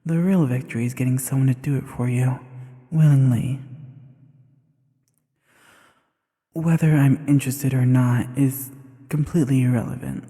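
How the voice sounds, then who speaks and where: A man playing a sly, teasing, self-assured sorcerer in an intimate roleplay audio drama, lingering smoothly on his words. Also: medium pitch, fairly even intonation, brisk, warm tone, natural voice. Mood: seductive, teasing. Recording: long hall-like reverb on voice.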